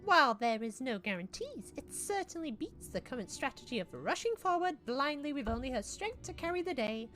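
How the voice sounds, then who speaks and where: A woman voicing a formal, grave, learned character from a fantasy game, in a high put-on voice with clipped, measured lines. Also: big pitch swings, a bit bright, soft background music. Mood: earnest. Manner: clipped formal delivery in a much higher put-on voice. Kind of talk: voicing game dialogue live.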